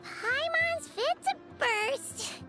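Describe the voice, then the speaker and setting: A woman voicing a tiny, childlike, food-loving fairy companion in a video game, squeaky and perky. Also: animated, drawn-out words, over music, heavily acted. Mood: contented, drowsy. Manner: refers to self in third person, perky.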